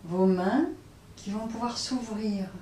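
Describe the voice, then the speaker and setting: A woman teaching yoga nidra, guiding relaxation in a hesitant way, often pausing and repeating phrases. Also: medium pitch, dark tone. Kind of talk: guided relaxation instruction. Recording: roomy, distant mic, faint noise.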